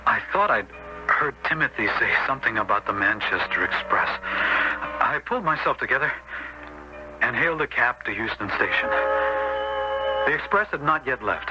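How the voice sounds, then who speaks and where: A man's voice: medium pitch, lo-fi sound, lightly acted. An actor playing an upright, preachy man troubled by his crooked brother, in 1970s radio drama style. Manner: earnest, moralizing. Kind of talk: 1970s radio drama acting with first-person narration. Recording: noisy old 1970s radio broadcast.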